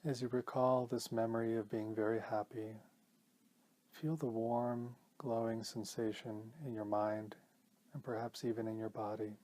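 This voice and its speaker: A man leading a guided meditation as a teacher, speaking in a calm, gentle, measured way with pauses. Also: medium pitch.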